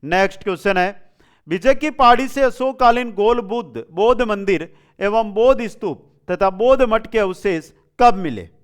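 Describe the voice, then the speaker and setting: A man's voice: sits high, moderate pace, smooth. A man teaching an online exam-prep class in question-and-answer form, repeating questions and answers and addressing his students directly. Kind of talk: question-and-answer quiz lesson.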